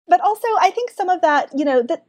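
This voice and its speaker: A woman's voice: extremely high pitch, a little mellow. A woman with a Southern US accent, chatting as a podcast guest and laughing often. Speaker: podcast guest, book club community manager. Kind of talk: casual chat.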